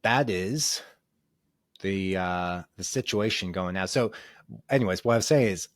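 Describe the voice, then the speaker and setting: A man's voice: low-pitched, measured pace, no echo, studio quality. A man hosting a solo podcast, a little nasal and congested from a cold, talking casually and rambling with many ums and restarts. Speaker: podcast host.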